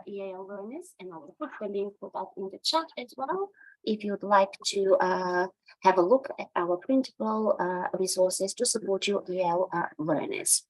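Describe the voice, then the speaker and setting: A woman's voice: medium pitch, a little mellow, rough recording. A woman in her forties from Bulgaria with a Bulgarian accent, presenting an online teaching webinar with frequent hesitations and fillers. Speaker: EAL specialist presenting a webinar.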